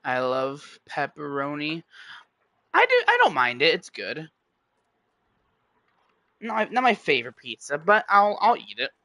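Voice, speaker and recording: Male streamer, slightly high voice, rambling chat with viewers, dry close sound. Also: wildly swooping pitch, a little slow, pauses often, pushed and bright, dry recording. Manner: rambling, self-correcting, talks straight to chat. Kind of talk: casual livestream chat.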